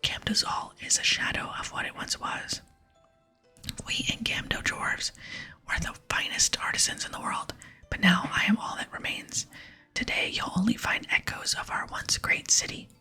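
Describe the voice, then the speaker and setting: Whispered, breathy solemn dwarf smith played by a woman, mournful yet hopeful game character, music underneath. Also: normal speed, bright, forceful tone. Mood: wistful. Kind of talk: ASMR game dialogue reading.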